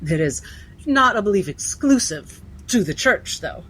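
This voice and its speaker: A woman playing a coolly persistent female interviewer, formal and measured, with pointed questioning. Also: mid-range voice, sing-song, a bit bright, slightly rough, exaggerated voice, low-quality recording. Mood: cool, probing. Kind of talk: cinematic period drama acting.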